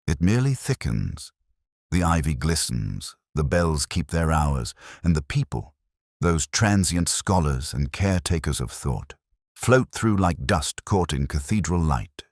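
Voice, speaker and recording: A man with an extremely low voice reads a first-person detective mystery in a measured, reflective way. His intonation rises and falls with lively expression. The sound is close and dry, with no room around it. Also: no echo. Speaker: narrator.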